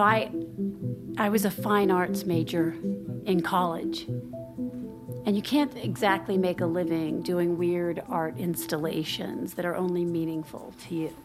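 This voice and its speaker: Flustered guarded office woman, slow and halting, audio drama style. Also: fairly low pitch. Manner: hesitant, halting. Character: flustered, guarded woman working in finance, secretly leading double life. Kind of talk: naturalistic audio drama acting.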